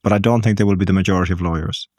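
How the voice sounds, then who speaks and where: An Irish man speaking as a business guest in a podcast interview, measured and thinking aloud with frequent ums. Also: deep voice, steady tone, darkish tone, dry recording, clean studio sound. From Ireland.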